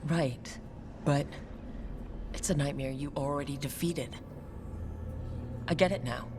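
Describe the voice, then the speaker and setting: An actor playing a calm, supportive fantasy hero in a video game, talking in a measured, earnest way. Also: mid-range voice, close to an everyday voice. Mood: calm, sympathetic. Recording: noisy game ambience behind.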